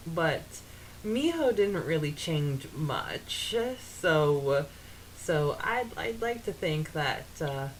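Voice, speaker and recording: A woman co-hosting a podcast, giggly and informal, mixing casual chat with expressive reading aloud. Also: low voice, poor audio. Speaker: podcast co-host. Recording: faint background hiss.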